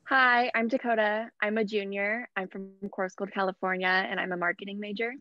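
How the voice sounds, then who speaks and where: A woman's voice: medium pitch, fairly even intonation, fairly quick, no echo, lo-fi sound. A young woman, a college athlete, giving a brief self-introduction on a group video call. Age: young adult. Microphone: video call microphone.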